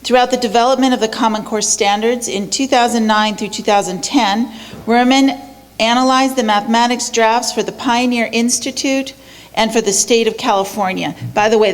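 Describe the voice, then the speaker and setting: A woman moderates a panel and reads out a guest's introduction briskly and with an upbeat tone. Her voice sits a little higher than average. The long reverb of a lecture hall hangs around every word. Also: fairly even intonation. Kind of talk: reading a speaker introduction.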